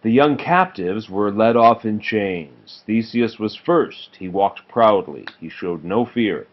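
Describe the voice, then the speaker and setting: A man's voice: fairly low pitch, lively intonation, slow pace. A man reading a children's storybook aloud as a parent to a child, in a narrating style. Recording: muffled home recording with clear noise.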